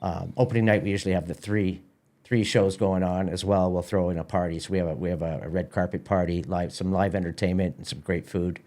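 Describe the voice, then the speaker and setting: Man, halting conversational talker. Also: deep voice, steady tone, darkish tone. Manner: halting, repeats words. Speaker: guest, theatre festival organizer. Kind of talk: casual podcast interview.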